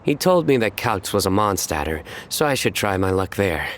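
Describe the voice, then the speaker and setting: An actor playing a solemn young man in a video game, formal, deadpan and earnest. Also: sits low, normal speed, slight background noise. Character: solemn, intense young man obsessed with his card game.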